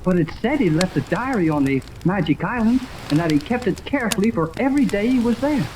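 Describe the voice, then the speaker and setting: A woman playing an eager, excitable boy sidekick in a 1930s radio adventure serial, with earnest exclamations. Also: medium pitch, quick pace, close to an everyday voice. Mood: eager, insistent. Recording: noisy old 1930s radio recording.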